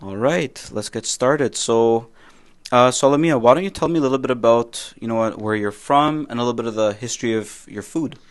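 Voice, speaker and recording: A man's voice: mid-range voice, brisk. A young man from Canada co-hosting a casual podcast, friendly and prone to repeating himself and restarting his sentences. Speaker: podcast co-host.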